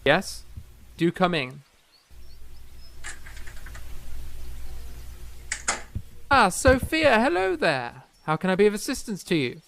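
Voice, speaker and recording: A man's voice: sits high, huge pitch swings, moderate pace, gravelly, a bit bright, full character voice. A man playing a proud, fiery young eighteenth-century composer in a period audio drama, speaking formally and emphatically with theatrical flair. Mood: genial.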